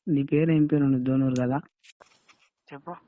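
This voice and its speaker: A man's voice: medium pitch, steady tone, brisk, phone-quality sound. A young man in a casual phone conversation, questioning and protesting, often saying bro. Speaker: prank call target.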